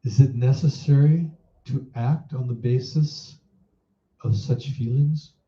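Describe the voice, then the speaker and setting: A man leading a guided meditation as a teacher, in a measured, instructive manner, posing reflective questions. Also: mid-range voice, steady tone, dark tone. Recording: roomy echo, dull muffled sound.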